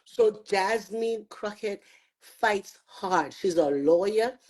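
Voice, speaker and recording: A woman's voice: medium pitch, a little mellow. Earnest woman arguing. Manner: earnest, rapid bursts of argument. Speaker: guest commentator. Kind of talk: opinionated chat.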